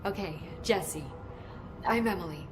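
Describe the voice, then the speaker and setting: A woman's voice: lowish voice, measured pace, over music, lightly acted. A woman playing an eager, nervous government researcher in a video game cutscene, earnest, wordy and slightly flustered. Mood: earnest, urgent. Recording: clear ambient noise behind voice.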